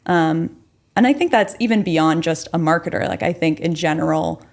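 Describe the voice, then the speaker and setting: A young woman with a lowish voice and a lot of gravelly fry speaks as a podcast guest. She grew up abroad and talks in a friendly, articulate, conversational way, dropping in "like" often. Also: moderate pace, darkish tone. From grew up abroad, Kuwait, Philippines, UAE. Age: young adult. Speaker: guest, marketing director. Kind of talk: casual interview chat.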